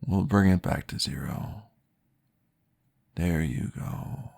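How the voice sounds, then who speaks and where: A man voicing a calm, patient male vampire therapist, soft-spoken and reassuring, often dropping into a whisper in an ASMR roleplay. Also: low-pitched, takes it slowly, heavily acted. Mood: soothing whisper. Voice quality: gravelly, husky. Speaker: therapist guiding a listener.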